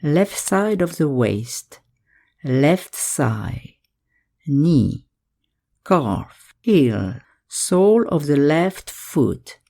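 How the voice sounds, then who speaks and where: A woman guiding a yoga nidra meditation in calm, measured instructions, leaving pauses between phrases. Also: low voice. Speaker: meditation guide.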